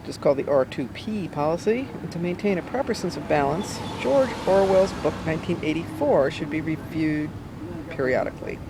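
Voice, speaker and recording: A woman reads an essay aloud outdoors, with deliberate, emphatic phrasing and pauses. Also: low-pitched. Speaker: amateur reader. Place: outdoors by a cafe in town. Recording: noisy outdoor street recording, traffic sounds. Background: urban outdoor ambience, vehicles.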